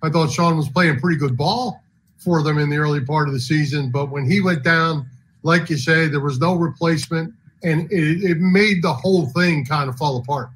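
A man's voice: fairly high pitch, normal speed, a bit bright, slight background noise, rough recording. An American man hosting a sports show in a casual, chatty style. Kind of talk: casual chat.

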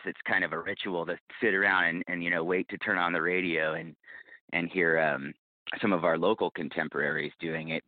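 A man's voice: deep voice, dry recording. A man giving earnest, enthusiastic answers in a conversational interview as a podcast creator and guest, over a call. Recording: narrow, tinny phone-line sound.

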